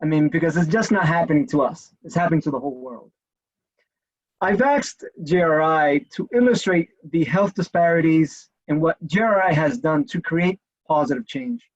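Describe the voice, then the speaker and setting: A man hosts a panel over a muffled video call, his voice sitting a little high. He talks earnestly, pausing on fillers and restarting phrases. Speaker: event host. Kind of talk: moderating a virtual panel.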